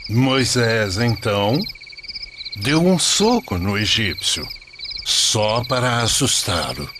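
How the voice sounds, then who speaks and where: A man playing a devout, patient old grandfather narrating a sacred animated story. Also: on the low side, slow pace, noisy background. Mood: solemn storytelling.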